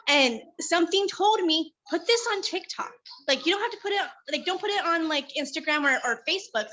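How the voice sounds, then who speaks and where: A woman sharing tips in a casual workshop. She tells her story in an animated way and acts out her own thoughts as quotes. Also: high-pitched, low-quality recording.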